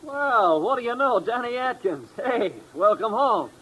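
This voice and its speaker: A man's voice: very high voice, lively intonation, unhurried, sharp tone, heavily acted, rough recording. An actor playing a friendly, gossipy small-town gas station man in a 1950s radio drama. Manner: folksy, gossipy. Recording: old radio recording, faint noise.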